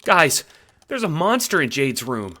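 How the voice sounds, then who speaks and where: A man voicing an excitable, awkward teenage boy in an audio drama, stammering and exclaiming. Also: on the high side, a little slow, slight background noise, voice pushed up, heavily acted. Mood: panicked.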